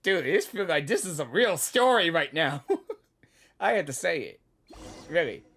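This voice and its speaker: A gaming host giving casual live reactions in an excitable, playful, repetitive way. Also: mid-range voice, measured pace. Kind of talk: casual live game commentary.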